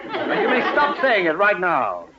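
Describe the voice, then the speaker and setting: Exasperated, high-pitched husband in radio comedy. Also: male voice, wildly swooping pitch, moderate pace, a crowd in the background, noisy background. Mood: flustered, indignant. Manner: indignant, sputtering. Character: exasperated, gullible suburban husband and homeowner, middle-aged. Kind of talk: old-time radio comedy acting. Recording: muffled old radio comedy recording.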